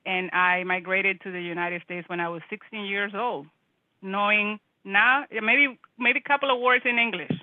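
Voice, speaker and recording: A woman's voice: medium pitch, steady tone, normal speed, no echo. A middle-aged woman from the Dominican Republic, a guest in a casual live-stream interview, talking in a chatty way with frequent restarts and laughter. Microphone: video call. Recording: tinny phone-like call audio.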